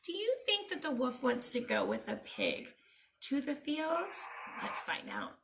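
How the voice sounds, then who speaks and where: Animated high-voiced woman reading a storybook. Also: unhurried, creaky, slight background noise. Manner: dramatic, asks the listeners questions. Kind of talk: expressive children's storybook read-aloud.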